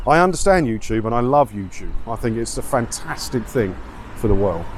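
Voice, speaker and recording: A middle-aged man talks in a blunt, informal way, rambling casually to camera. His voice sits at an average pitch. It is recorded outdoors, with faint street and traffic noise behind him. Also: animated, a little fast. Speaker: video creator and host. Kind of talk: casual walking vlog, rambling chat to camera. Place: outdoors, walking around a seaside town.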